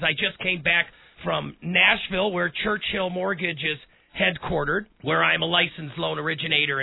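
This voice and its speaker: A man hosting a radio talk show, hoarse and raspy today, speaking in a folksy way straight to his listeners. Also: on the high side, dry recording. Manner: folksy, direct address to listeners. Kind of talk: casual one-on-one radio talk. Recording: tinny phone-like sound.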